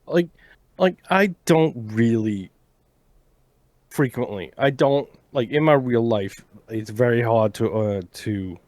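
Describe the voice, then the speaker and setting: A man co-hosting a video game podcast, talking in a mostly monotone voice while venting his frustrations in casual chat. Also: medium pitch, slow pace, dry recording. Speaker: podcast co-host.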